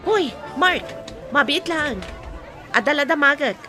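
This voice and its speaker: A woman's voice: sits high, lively intonation, measured pace, bright, forceful tone, soft background music, some chatter behind, heavily acted. A woman with an Ilocano accent playing an earnest younger woman in an audio drama. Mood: confronting, pressing.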